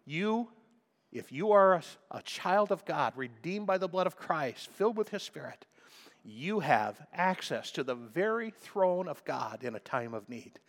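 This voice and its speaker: A man preaching a sermon with emphatic delivery, often clearing his throat, his voice a little crackly. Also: fairly high pitch, fairly bright tone. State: slightly crackly voice. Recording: long reverb of a large hall.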